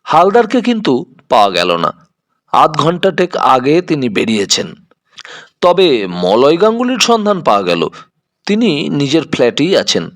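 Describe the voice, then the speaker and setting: A man's voice: a little high, huge pitch swings, moderate pace, pauses often, a bit bright. A man narrating a scripted detective story as an audio storyteller.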